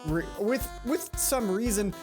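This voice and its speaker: A woman's voice: medium pitch, a little slow, over music. Chatty woman playing a game. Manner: chatty, swears, excitable asides. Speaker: let's play host. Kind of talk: casual gameplay commentary.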